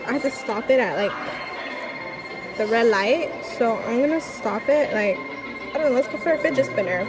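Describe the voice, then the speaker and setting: A young woman vlogging casually in a large indoor mall, chatty and pleading excitedly at a claw machine, recorded on a handheld phone. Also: high voice, low-quality recording. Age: young adult. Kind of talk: casual vlog commentary. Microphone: phone camera at arm's length.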